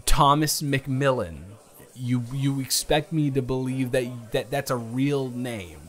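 A man's voice: mid-range voice, steady tone, slow pace, a bit bright. A man acting out a playful, flustered young guy on a first date in an improvised audio roleplay, teasing and stammering with mock indignation. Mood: enthusiastic, playful. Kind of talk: improvised romantic audio roleplay, casual chat.

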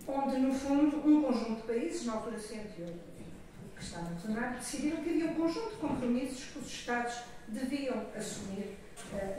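An older woman with a European Portuguese accent gives a semi-improvised conference opening speech from an auditorium stage, halting and often correcting herself as she digresses. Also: a little high, a little mellow. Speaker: organisation president giving an opening address. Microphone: podium microphone, recorded from the audience. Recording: echoey hall from far off, noisy.

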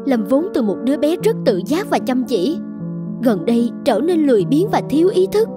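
A woman's voice: sits high, sing-song, moderate pace, over music. A woman playing a firm, polite young mother in a scripted cartoon, reasoning earnestly. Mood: insistent.

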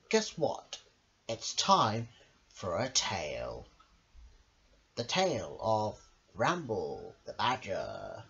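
Slow, hugely swooping male storyteller. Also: on the low side, a bit bright. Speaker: storyteller, channel host. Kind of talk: children's picture-book narration. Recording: dull lo-fi home recording.

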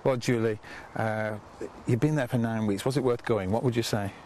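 An older man with a lapel mic, interviewing a student and speaking to camera outdoors in a relaxed, unhurried, affirming way. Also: medium pitch, slight background noise. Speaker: ministry leader and host. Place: outdoors on a garden bench by a stone manor.

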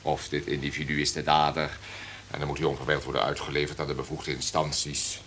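An actor playing a domineering, calculating radio station boss in a Dutch radio drama, with a pompous, commanding manner. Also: male voice, a bass voice, level tone, fairly quick, fairly bright tone, slight background noise. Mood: lecturing, sure. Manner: pompous, commanding, throat-clearing.

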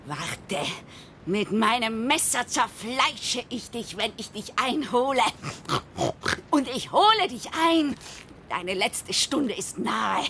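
An actress voicing an evil, greedy, pig-like queen in a radio play, theatrical and savouring each word in a hoarse, rough voice. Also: a little high, animated, slow, a bit bright, a little hiss or hum, full character voice. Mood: menacing, vengeful.

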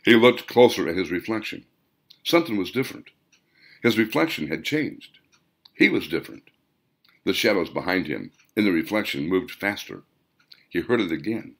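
Male narrator, low voice, very varied intonation, theatrical audiobook reading, deliberate. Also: sing-song, fairly bright tone. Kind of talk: dramatic scripted narration.

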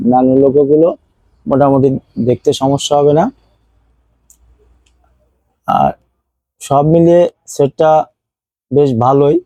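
Man, Bangladeshi accent, very soft dark tone, dry sound, casual product review, explanatory, repetitive. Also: mid-range voice, no room sound, dull audio. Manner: explanatory, repeats points. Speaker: phone seller reviewing a phone.

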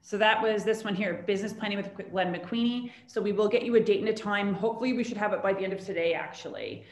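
A woman's voice: mid-range voice, fast talker. A woman in her thirties hosting an office town hall over a video call, chatty and upbeat. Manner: chatty, upbeat, laughs easily. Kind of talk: casual video call announcements. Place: office meeting room. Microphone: laptop camera on video call. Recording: echoey room.

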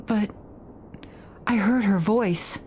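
A woman's voice: mid-range voice, slow, crowd noise, voice pushed up, natural voice. A woman voicing an anxious but determined female schoolteacher in a horror game, protesting with emotion. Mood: distressed insistence. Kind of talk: reading horror game dialogue aloud.

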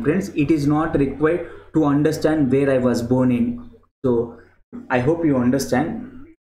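A young man gives a grammar lesson at a very slow, halting pace, repeating phrases as he types out examples. His mid-pitched voice rings in an echoey small room. Steady noise and keyboard clicks sit clearly behind him. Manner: slow and repetitive, often saying "friends" to the viewers. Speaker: English grammar teacher. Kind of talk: slow explanatory screen-share lesson. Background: keyboard clicks and typing.

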